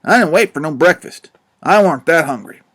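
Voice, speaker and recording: Guy doing a bald old swindler preacher, folksy blustery drawl, low and sly. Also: expressive, moderate pace, fairly bright tone. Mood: boastful. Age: older. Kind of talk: audiobook character voice.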